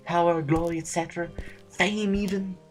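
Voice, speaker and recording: An actor playing a fussy, timid, snobbish aristocratic politician in an audio drama, wordy and pompous with a stammer. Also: male voice, sits high, fairly even intonation, normal speed, faint music behind, close to an everyday voice. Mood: flattering, pompous.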